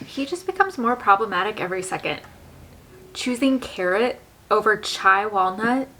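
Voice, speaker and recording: A woman's voice: mid-range voice, animated, normal speed, bright, forceful tone, slight background noise, low-quality recording. A woman hosting a reaction video, giving casual, opinionated commentary on a TV show in a conversational way.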